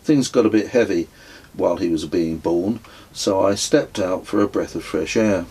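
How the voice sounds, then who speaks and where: A man reading his own memoir as an audiobook, telling it in a measured, wry storytelling way. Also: low voice, dark tone, breathy edge. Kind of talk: scripted audiobook narration.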